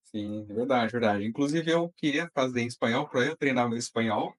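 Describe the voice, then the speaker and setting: Relaxed male podcast host. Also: medium pitch, brisk. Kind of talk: casual interview chat.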